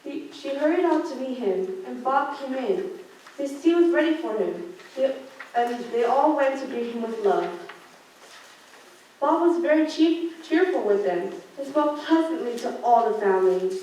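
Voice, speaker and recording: A woman's voice: high-pitched, animated, unhurried, dark tone, slight background noise. A teenage girl reading scripted story narration for a student radio play, with occasional stumbles. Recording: very echoey room, distant microphone.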